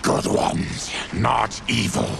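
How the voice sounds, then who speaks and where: An actor voicing a gruff, honourable mutant warrior in a video game, stern and blunt, with a gravelly growl. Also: male voice, fairly low pitch, slow pace, heavy background noise, full character voice. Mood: stern, matter-of-fact. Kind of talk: cinematic video game acting.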